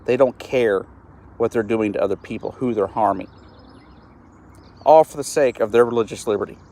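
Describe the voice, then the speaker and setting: A man in his late thirties speaks on a solo live stream with a fairly low voice. He sounds earnest and frustrated, and he often trips over his words and starts them again. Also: moderate pace, warm tone. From East Texas, US. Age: 30s. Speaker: host of a live-stream show.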